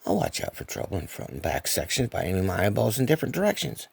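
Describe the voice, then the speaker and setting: Older man as a husky, troubled young storybook hero. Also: fairly low pitch, big pitch swings, fast, no echo, pitched below the performer's own voice, heavily acted. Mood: resolute. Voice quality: gravelly, husky.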